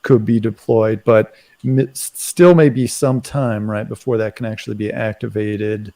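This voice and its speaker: A man in a casual current affairs discussion, speaking haltingly and often tagging his phrases with "right". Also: fairly low pitch, steady tone, mellow. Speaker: commentator on a live talk show.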